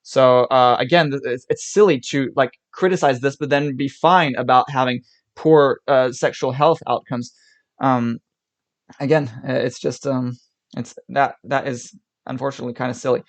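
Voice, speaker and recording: A young man from Switzerland, born there and streaming from a desk mic, talks through his reactions to clips in loose, halting bursts. He stops himself, restarts sentences and laughs often, at a voice of middling pitch. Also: steady tone. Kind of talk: casual reaction commentary.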